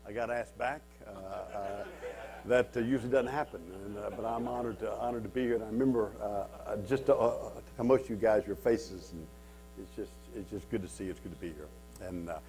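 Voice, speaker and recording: A man's voice: on the low side, steady tone, a little fast, darkish tone, a little hiss or hum. A man from Kansas in his fifties, a guest speaker telling stories to a men's retreat in a church hall, stuttering, with many repeats and self-mocking humor. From Kansas, USA. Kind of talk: storytelling talk to a men's retreat. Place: wood-paneled church hall with a seated audience.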